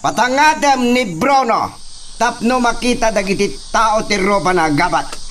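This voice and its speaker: Cruel, commanding young estate master, male actor, high-pitched with big swings, radio drama, faint background noise. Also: lively intonation, measured pace, fairly bright tone. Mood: haughty, commanding. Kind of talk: Ilocano radio drama acting.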